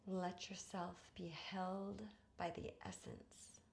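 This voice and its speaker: Slow, hushed woman guiding meditation. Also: lowish voice, flat delivery, slight background noise. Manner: soothing, hushed, breathy phrase endings, long pauses. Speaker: yoga nidra guide. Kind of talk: guided meditation narration.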